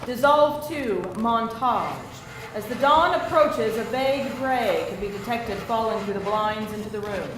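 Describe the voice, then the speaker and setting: A woman reads scripted screenplay directions in a fairly high voice. She is narrating live, and the room is echoey with faint noise behind her. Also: unhurried, few pauses. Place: live indoor table read.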